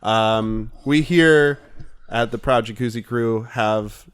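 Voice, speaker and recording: A man's voice: medium pitch, slow pace, slight background noise. A young man co-hosting a comedy podcast, joking in a playful, mock-grand way. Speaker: podcast co-host. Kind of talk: jokey banter.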